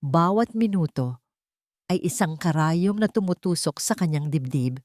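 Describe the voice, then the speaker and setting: A Filipino woman narrating a dramatic story as an audiobook, in a measured storytelling manner. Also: sits low, expressive, a little mellow, no room sound, studio quality.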